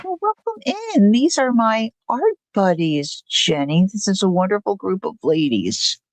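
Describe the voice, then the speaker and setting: A woman's voice: mid-range voice, very animated, unhurried. An older woman hosting a livestream, chatting casually and rambling through a story. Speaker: livestream host. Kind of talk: casual chat.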